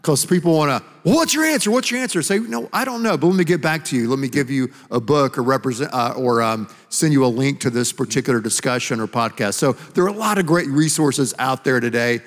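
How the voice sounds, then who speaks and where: A man's voice: medium pitch, lively intonation, quick pace, hardly any pauses. A middle-aged man, a pastor and author, explaining earnestly in a stage sermon dialogue on a church stage, using a handheld mic. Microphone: handheld microphone. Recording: long hall reverb.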